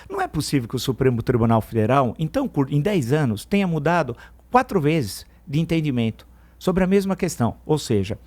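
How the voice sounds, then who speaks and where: A man's voice: a little high, darkish tone. A middle-aged man with a Brazilian Portuguese accent giving indignant, emphatic opinion commentary on a morning radio news show, often wandering off on tangents. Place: radio studio.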